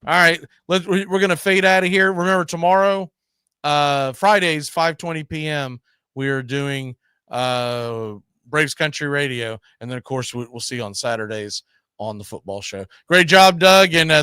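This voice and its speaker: A man's voice: a little high, normal speed, sharp tone, clean tone, dry recording. A man hosting a live sports show, chatting casually about the game.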